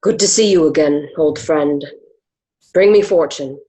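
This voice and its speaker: A woman's voice: low-pitched, measured pace, warm tone, low-quality recording. A woman playing a shrewd, proud gladiator trainer in a dramatic script reading. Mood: reverent.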